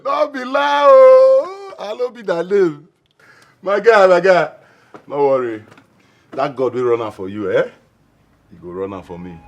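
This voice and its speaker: Guy playing a hyped nigerian pidgin friend roasting his buddy, lively voice. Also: medium pitch. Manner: excitable, teasing, exclamatory. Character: teasing young man ribbing his friend.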